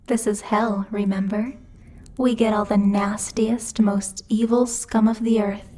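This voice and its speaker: A woman's voice: medium pitch, level tone, a little slow, mellow, natural voice. A woman voicing a bossy, teasing female demon who rules hell, speaking in a haughty, playfully menacing ASMR roleplay style. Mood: taunting, menacing. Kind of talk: ASMR roleplay, intimate scripted monologue to the listener.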